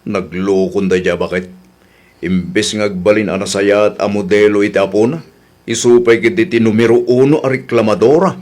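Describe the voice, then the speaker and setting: A man's voice: fairly low pitch, fairly even intonation, normal speed, darkish tone, natural voice. A man with an Ilocano accent playing a patient but firm village councilman in a comedy radio drama, reasoning and admonishing. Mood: exasperated, firm.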